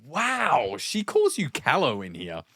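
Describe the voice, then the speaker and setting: A man as mocking best buddy, pitch swooping up. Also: wildly swooping pitch, takes it slowly, vocal fry, sharp tone, husky, no echo, studio quality, heavily acted. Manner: exaggerated, sarcastic delivery. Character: mocking, sarcastic young man, slacker's bantering best friend. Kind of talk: overacted comedy script read.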